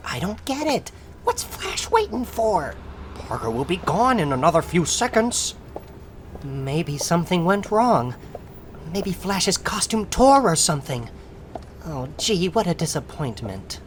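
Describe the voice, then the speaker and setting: A woman's voice: lowish voice, sing-song, unhurried, slight background noise. A woman playing a youthful, gossipy teenage schoolgirl onlooker in a comic book dramatization. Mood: puzzled, let down.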